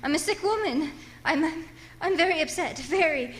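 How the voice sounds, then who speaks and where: A woman's voice: sits high, lively intonation, moderate pace. A woman playing a frightened, demanding invalid lady alone at home, pleading and stammering in old-time radio suspense style. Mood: agitated, demanding.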